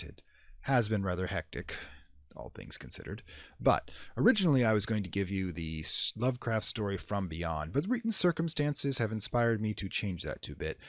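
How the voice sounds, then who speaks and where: A man's voice: deep voice, no echo, studio quality. A man hosting and narrating a horror audio show in a theatrical, playfully ominous manner. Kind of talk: theatrical horror storytelling, intro chat then dramatic reading.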